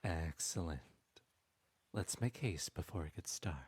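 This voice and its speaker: A very deep, husky male voice, as a man acts it out, plays a cunning trickster god who charms and manipulates with slow, silky words. The sound is dry and close to the mic, with no room around it. He teases gently and never seems to hurry. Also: no echo. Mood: scheming. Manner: silky, teasing, slow and persuasive. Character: sly smooth-talking male trickster god. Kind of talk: ASMR audio roleplay.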